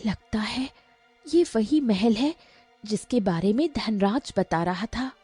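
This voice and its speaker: An earnest young village woman in a cartoon folk tale, acted by a woman narrator, pleads and questions with lively pitch swings. The voice is mellow, over faint music. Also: takes it slowly, slightly rough, heavily acted. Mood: astonished.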